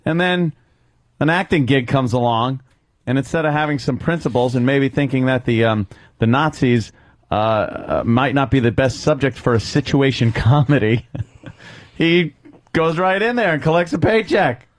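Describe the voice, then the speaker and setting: A male radio co-host rambling through stories with many filler pauses and the odd curse. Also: mid-range voice, no room sound. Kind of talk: casual radio banter. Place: radio studio.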